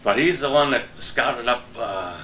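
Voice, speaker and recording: A man's voice: medium pitch, unhurried, a bit bright. An older American man, a guest casually recalling people and businesses from years past. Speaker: guest, veteran broadcaster. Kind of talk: casual reminiscing chat. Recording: echoey, distant mic, clear background noise.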